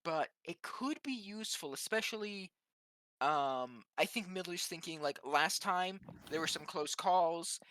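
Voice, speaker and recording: A woman's voice: fairly low pitch, measured pace, fairly bright tone, no room sound. A woman chatting casually as a player in a tabletop game. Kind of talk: casual chat.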